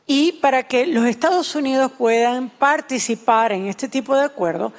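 Female interpreter, earnest, fluent, a bit high-pitched. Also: moderate pace. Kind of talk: consecutive interpreting of a sermon.

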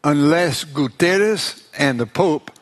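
Male preacher, higher-pitched voice, slow deliberate delivery, rhetorical phrasing in short segments. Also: animated. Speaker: preacher giving a lecture.

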